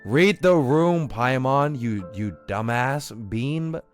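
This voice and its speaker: A young man doing casual, slangy, expressive live commentary as he streams a game. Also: mid-range voice, slow, faint music behind.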